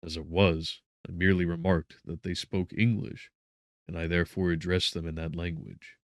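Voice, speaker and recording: Very deep-voiced man narrating gothic horror. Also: flat delivery, moderate pace, no echo, studio quality. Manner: theatrical, solemn, with strong swells and drops in volume. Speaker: audiobook narrator. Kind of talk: dramatic scripted narration of a gothic novel.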